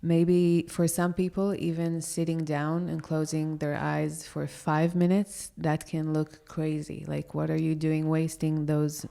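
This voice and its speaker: A woman's voice: low-pitched, level tone, unhurried, vocal fry, hardly any pauses, warm tone. A woman from Israel, a yoga teacher and podcast guest, speaking thoughtfully and hesitantly with many fillers in a casual interview.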